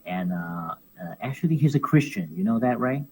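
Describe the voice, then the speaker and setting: Man, lively chat. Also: medium pitch, normal speed, slight background noise, rough recording. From Hong Kong. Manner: animated, laughs often, repeats words while searching for phrasing. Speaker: professional wrestler guest. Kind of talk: casual interview chat.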